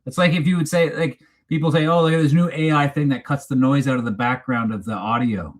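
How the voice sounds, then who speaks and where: A man's voice: mid-range voice, fairly quick. A man with a Canadian accent hosting a casual, unscripted livestream chat in a rambling, teasing, informal way. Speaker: livestream host. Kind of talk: casual unscripted chat, reading live comments.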